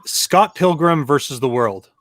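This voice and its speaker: Higher-voiced man in casual panel chat. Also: lively intonation, unhurried, fairly bright tone, no echo, studio quality. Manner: conversational, opinionated.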